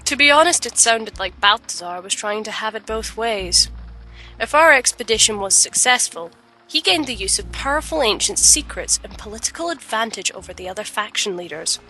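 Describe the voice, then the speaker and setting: An actress playing an earnest, determined alchemist adventurer in a fantasy audio drama, speaking in a measured, serious way. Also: female voice, a little high, animated, bright, forceful tone, a little hiss or hum, poor audio. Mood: reflective. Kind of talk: audio drama narration and dialogue. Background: music.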